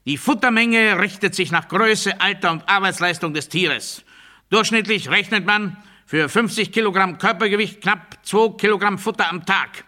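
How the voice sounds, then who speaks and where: A man's voice: high voice, sharp tone, full character voice. A man playing a cold, menacing official of a brutal regime in a radio play, speaking in formal, emphatic, declamatory sentences. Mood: clinical, instructional. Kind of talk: German radio play monologue, formal instructional oratory.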